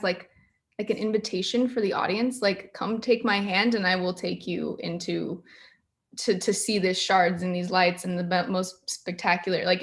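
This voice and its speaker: A woman's voice: on the low side, vocal fry. A woman speaking as a sound designer on a casual panel, enthusiastic and emphatic, repeating phrases to make her point.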